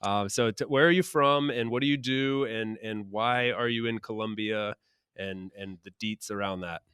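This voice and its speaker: A man hosting a podcast interview, talking in a relaxed, hesitant way. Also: fairly low pitch, normal speed, clean tone, no echo, studio quality. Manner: relaxed, hesitant, with restarts and fillers. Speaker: podcast host. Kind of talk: conversational interview.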